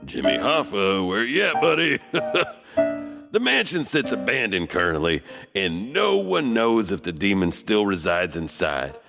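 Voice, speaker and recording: Horror host: a mock-sinister janitor storyteller, slow and theatrical, big pitch swings. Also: male voice, very animated, gravelly, heavily acted. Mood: mock ominous. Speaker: horror podcast host and narrator. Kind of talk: theatrical horror anthology hosting. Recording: music under the voice.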